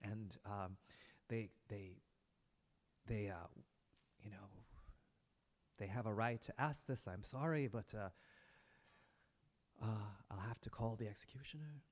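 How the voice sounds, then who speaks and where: A man voicing a town judge who speaks hesitantly and regretfully in a lowered voice. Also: natural voice. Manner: hesitant, halting. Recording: long hall reverb.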